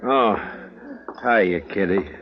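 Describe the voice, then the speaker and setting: A man's voice: on the low side, extremely slow, crowd noise. A male actor playing a weary, steadfast frontier marshal in a 1950s radio western, terse, grave and authoritative. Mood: weary, troubled. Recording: muffled old radio broadcast.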